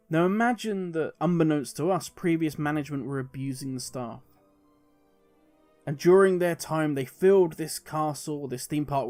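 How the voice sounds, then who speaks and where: A British man giving conversational opinion commentary to viewers as a gaming host, explaining in a measured way with deliberate pauses. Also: on the high side, darkish tone. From United Kingdom. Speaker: gaming video creator and host.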